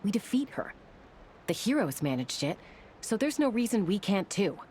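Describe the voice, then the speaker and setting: A woman's voice: medium pitch, big pitch swings, moderate pace, slight background noise, lightly acted. An actress voicing a bold, loyal young woman scout who talks with confident, defiant conviction. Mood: resolute. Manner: confident, defiant and warm-hearted. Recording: game soundtrack music behind.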